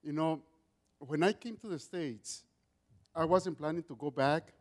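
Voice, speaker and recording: A middle-aged man with a Mexican accent, Spanish his first language, testifying in church. His voice sits high for a man and carries loudly. He speaks earnestly and often restarts his sentences. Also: unhurried. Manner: loud voice, earnest with many restarts. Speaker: guest speaker giving testimony.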